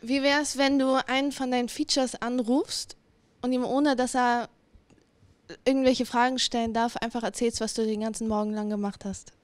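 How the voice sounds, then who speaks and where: A young woman hosts a casual interview with a fairly high voice. She puts short questions into a close handheld mic, and the sound is very dry. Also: steady tone, normal speed, clean tone, no echo. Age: young adult. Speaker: interviewer, host. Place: indoor room, seated on a couch. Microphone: handheld microphone, close.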